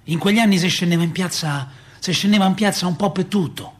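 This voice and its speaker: A man's voice: sits high, fast talker, close to an everyday voice. An actor playing a working-class Roman father in a radio play, telling his memories in a colloquial, repetitive, emphatic way with a Roman accent. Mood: impassioned. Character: working-class Roman father recalling 1970s street politics, wistful, impassioned. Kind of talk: radio theatre monologue.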